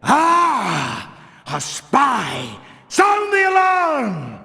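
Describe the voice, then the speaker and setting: Stern zealot temple guard, echoing. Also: male voice, very high-pitched, wildly swooping pitch, extremely slow, vocal fry, sharp tone, full character voice. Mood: alarmed. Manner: pious, archaic, declamatory speech. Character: stern, zealous male religious warrior guard of a builder cult. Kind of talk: fantasy video game character acting. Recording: long reverberant hall echo.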